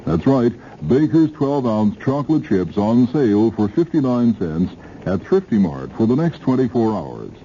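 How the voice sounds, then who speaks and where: A man's voice: mid-range voice, sing-song, moderate pace, mellow. An American man reading a scripted supermarket advertisement as a local radio station announcer. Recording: dull, faintly noisy old radio broadcast.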